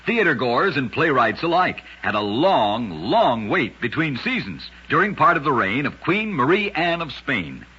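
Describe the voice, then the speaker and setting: A man narrates like an old-time radio host, with a very husky, rough-edged voice that still cuts through brightly. His scripted delivery is dramatic and lively in pitch. The recording is dull and lo-fi, like an old broadcast. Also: unhurried, few pauses. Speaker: host and storyteller of a radio show.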